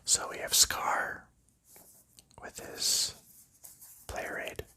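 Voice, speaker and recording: A man whispering softly through an ASMR board game session, chatting in a rambling, easygoing way while sorting cards. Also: drawn-out words. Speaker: ASMR host.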